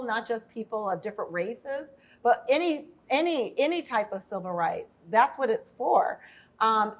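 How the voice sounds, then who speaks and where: A woman in her fifties telling a personal story as a podcast guest, earnest and emphatic, with quick asides. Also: on the high side, a bit bright. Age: 50s. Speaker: podcast guest, workforce and inclusion leader.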